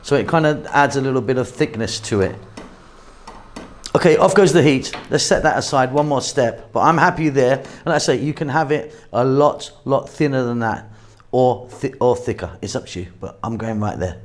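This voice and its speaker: A man talks through a recipe at the stove as a cooking channel host. His voice sits at an everyday middle pitch. He chats in a relaxed, easygoing way and thinks aloud as he goes. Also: moderate pace. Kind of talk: casual cooking demonstration.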